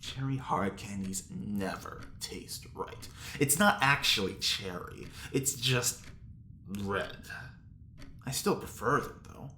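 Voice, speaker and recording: A man's voice: on the low side, slow, pushed and bright. A man acting in an audio drama as a haughty, self-admiring fashion icon, with a languid, pompous manner. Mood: musing, vain. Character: vain, theatrical, haughty fashion icon prisoner. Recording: roomy echo, faint noise.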